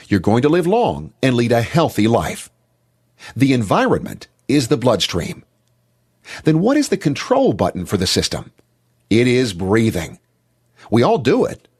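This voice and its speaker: A man's voice: mid-range voice, wildly swooping pitch, moderate pace, slightly rough. A man giving a motivational health talk in an emphatic, declarative manner.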